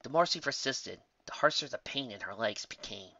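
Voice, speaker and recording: A man's voice: mid-range voice, expressive, normal speed, bright, forceful tone, no echo, muffled recording. A man reading a scripted story aloud as its narrator. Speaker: narrator reading fan fiction.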